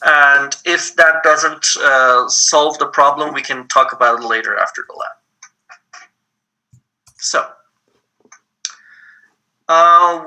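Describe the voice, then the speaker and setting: A man with a Persian accent teaches an online coding lab slowly and patiently. His voice is fairly high for a man and very bright, and he fills his pauses with ums. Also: rough recording. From Iran. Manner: patient, explains step by step with many ums. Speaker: lab instructor.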